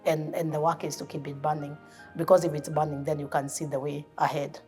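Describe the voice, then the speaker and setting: Woman, reflective, steady, very low voice, flat delivery, faint music. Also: fairly quick. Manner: reflective, steady, speaking in imagery of hope and light. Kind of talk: documentary interview.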